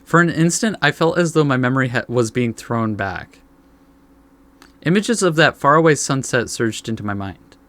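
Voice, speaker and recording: A man with a medium-pitched voice hosts a casual gaming video. He reads story text aloud and adds informal, laid-back reactions. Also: lively intonation, normal speed, a bit bright.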